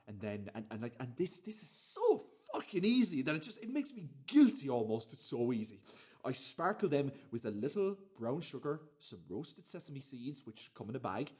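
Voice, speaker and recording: Guy doing fussy defensive home cook in a radio play, husky voice, swings up and down, sweary and emphatic. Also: mid-range voice, a little mellow. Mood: defensive pride. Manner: emphatic, self-justifying, stop-start delivery with swearing. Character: defensive, fussy, foul-mouthed amateur cook proud of his dinner. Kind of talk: dramatic monologue in a radio play.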